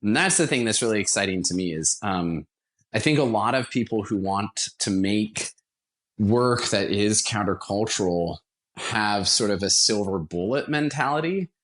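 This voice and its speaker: A man's voice: lowish voice, animated, normal speed, a bit bright. A man talking casually and enthusiastically as an interview guest, with emphatic turns of phrase. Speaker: podcast guest, theater founder.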